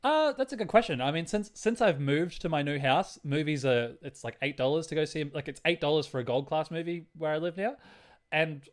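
Rambling man, slightly high-pitched. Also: expressive, fairly quick. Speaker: podcast co-host. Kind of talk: casual chat.

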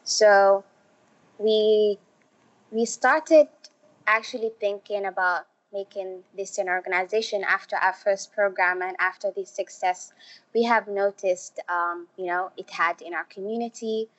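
A young woman from Somalia, a refugee youth program organizer, answering interview questions slowly with many fillers. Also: medium pitch, steady tone. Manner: slow, halting, many fillers. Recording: muffled lo-fi audio.

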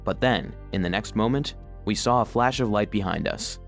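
A fairly low voice over soft music, an earnest little household robot. He narrates his own children's story with expressive, heartfelt feeling. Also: moderate pace, vocal fry. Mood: suspenseful. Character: earnest, sensitive vacuum-cleaner robot telling his story. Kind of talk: scripted first-person storybook narration for children.

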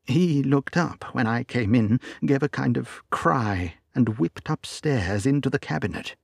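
A man's voice: mid-range voice, animated, measured pace, vocal fry, breathy edge, dry recording, clean studio sound, pitched above the performer's own voice, exaggerated voice. A man voicing a loyal, frightened old male butler, pleading and earnest in a storybook reading.

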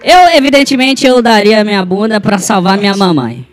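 A man's voice: high voice, expressive, a little fast, soft background music. Crude, joking Brazilian man in his twenties. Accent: Brazilian Portuguese. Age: 20s. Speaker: podcast co-host. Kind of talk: casual chat.

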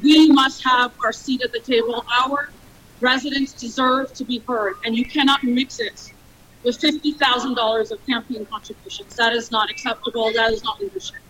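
A woman with a loud voice, a debate candidate delivering a forceful statement with repeated slogans. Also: fairly high pitch, normal speed, slight background noise, poor audio. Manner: forceful, repetitive campaign rhetoric.

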